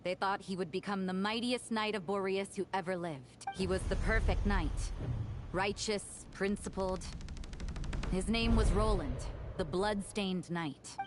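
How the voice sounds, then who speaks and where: A woman playing a worldly, composed church member in a video game, speaking in a measured, wry and knowing way. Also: medium pitch, noisy background, lightly acted. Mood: solemn storytelling. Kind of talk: video game voice acting, storytelling.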